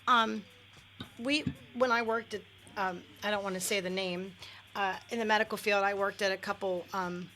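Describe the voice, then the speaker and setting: A woman's voice: medium pitch, steady tone, normal speed, sharp tone, faint music behind. A middle-aged woman, a guest on a radio talk show, talking candidly with lots of fillers and restarts. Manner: candid, many fillers and self-corrections. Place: radio studio.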